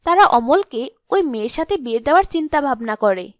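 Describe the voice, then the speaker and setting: A woman's voice: high-pitched, lively intonation, brisk, no echo. A woman narrating a scripted fairy tale as a storyteller.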